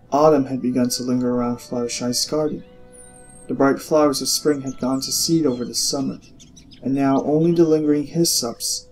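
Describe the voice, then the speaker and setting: A man reading scripted story narration in a measured, expressive way with deliberate pauses. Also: mid-range voice, poor audio. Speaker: audiobook narrator. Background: music.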